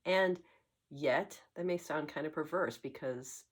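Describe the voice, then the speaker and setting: A woman hosting a tarot channel, getting over a cold and slightly congested, speaking in a reflective, musing way with frequent pauses and fillers. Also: low voice, slightly rough. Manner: musing, searching for words, many fillers. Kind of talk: reflective spoken monologue.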